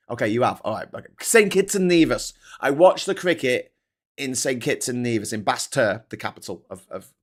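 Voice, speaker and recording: A man hosting a casual morning livestream, reading comments aloud with sarcastic, rambling asides. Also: medium pitch. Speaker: host.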